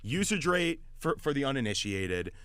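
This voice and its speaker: A man's voice: mid-range voice, very animated, normal speed, fairly bright tone, clean tone, no echo. A man hosting sports talk radio, giving opinionated analysis emphatically and often repeating words as he gets going. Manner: emphatic, stammering repetitions. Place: radio studio.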